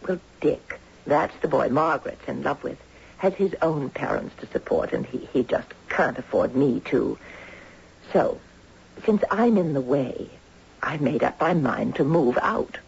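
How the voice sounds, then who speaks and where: An actress playing a genteel, hesitant elderly widowed grandmother in a 1970s radio drama, wistful and breathy. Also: sits low, expressive, unhurried, darkish tone, exaggerated voice. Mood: apologetic, earnest. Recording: dull 1970s radio broadcast recording.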